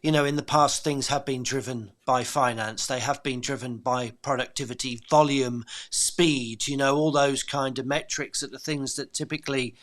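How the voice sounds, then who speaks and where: A man's voice: medium pitch, fairly even intonation, normal speed, hardly any pauses, fairly bright tone. A man hosting a podcast interview, reflecting on his guest's answers in a thoughtful, affirming way with frequent fillers. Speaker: podcast host.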